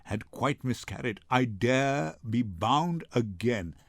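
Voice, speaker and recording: An older man plays a grave merchant from a Shakespeare play. He is loyal and melancholy, pitched down low, with slow, deliberate delivery. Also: expressive, no room sound. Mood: solemn. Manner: solemn, deliberate. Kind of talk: dramatic Shakespeare reading.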